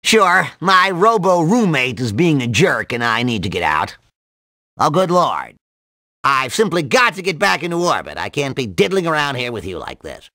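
A man voicing a nerdy, excitable, absent-minded cartoon mad scientist in a video game, nasal and full of rambling jargon and odd exclamations. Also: fairly high pitch, normal speed, no room sound, clean studio sound, exaggerated voice. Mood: put out, flustered.

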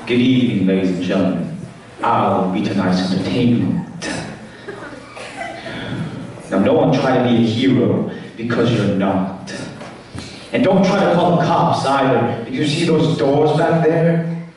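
A young man performing a sinister, taunting, unhinged clown villain in a theatrical stage monologue, with menacing dramatic pauses and sudden swells. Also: medium pitch, darkish tone, natural voice. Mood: mock-polite menace. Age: teenager or young adult. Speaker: talent show performer. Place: school auditorium stage. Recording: echoey hall from far off, faint noise.

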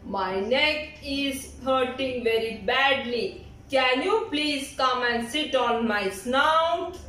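A woman's voice: high-pitched, slow, sharp tone, full character voice. A woman voicing a sly fox in an amateur puppet show, coaxing politely and feigning aches to trick his prey. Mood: complaining, coaxing. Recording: very echoey room, music behind.

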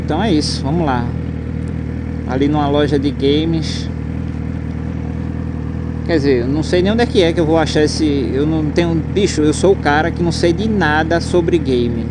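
Recife-accented male moto vlogger, slightly high voice, loose slangy chatter, helmet mic, heavy wind and traffic noise. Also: moderate pace, pauses often. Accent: Recife Pernambuco Portuguese. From Recife, Northeast Brazil. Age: adult, has a nephew. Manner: chatty, slangy, swears casually, rambling. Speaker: motorcycle vlogger. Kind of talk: casual chat while riding. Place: on a motorcycle on suburban roads outdoors. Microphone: helmet headset mic. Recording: loud wind and road noise from riding. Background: loud wind and traffic noise.

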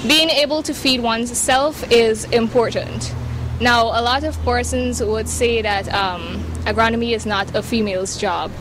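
Brief: twenty-year-old woman, news reporter, slightly high voice, firm and earnest, handheld mic outdoors. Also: unhurried, noisy background. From Guyana. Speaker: reporter and agronomy student. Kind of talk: news report and interview answers. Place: outdoors by a building.

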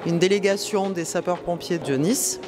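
A woman's voice: fairly low pitch, fairly even intonation, moderate pace. A woman in her forties speaking as a club representative in an interview. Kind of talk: on-camera interview. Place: large indoor hall. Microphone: handheld club microphone. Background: music.

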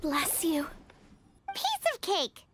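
A woman playing a tiny, childlike, cheeky fairy companion with a squeaky voice in a video game. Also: huge pitch swings, very slow, over music, heavily acted. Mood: cheeky, puzzled. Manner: speaks of self in third person. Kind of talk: video game character acting.

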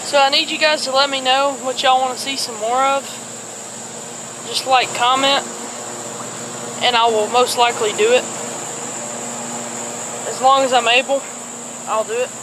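A man's voice: extremely high pitch, steady tone, normal speed, long pauses. A man chatting casually to the camera while fishing by a creek, talking to himself as he goes. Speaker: outdoors vlogger. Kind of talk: casual fishing vlog chat. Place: outdoors by a creek among trees. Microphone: camera on a stand a few feet away. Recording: outdoor camera audio with insect noise. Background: insects and crickets chirping.

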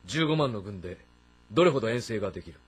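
An actor playing a commanding, shrewd warlord of ancient China in a dramatic game, with a terse, authoritative manner. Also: male voice, lowish voice, lively intonation, fast, husky. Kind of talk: dramatic audio-novel game acting.

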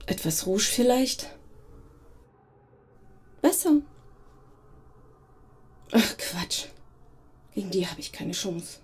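An actress playing a self-critical, thrill-hungry woman with a husky voice in a radio drama. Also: mid-range voice, animated, very slow, pauses often, heavily acted. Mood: self-doubting, bitter. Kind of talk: German radio drama acting, monologue.

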